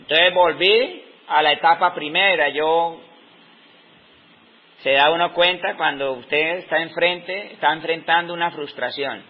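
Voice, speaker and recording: A Colombian man in his fifties, giving an unscripted talk on teaching with slow, reflective pauses in the middle of his sentences. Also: on the high side. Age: 50s. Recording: dull lo-fi recording.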